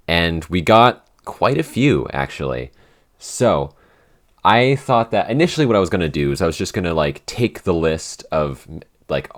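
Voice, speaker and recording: A man co-hosting a casual podcast Q&A, talking hesitantly with many restarts and fillers. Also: rumbling low. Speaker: podcast head writer and editor, co-host.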